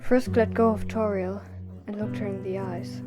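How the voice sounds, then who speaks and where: A woman's voice: mid-range voice, little pitch movement, normal speed, over loud music. A woman reading scripted story narration as the narrator of an audio drama.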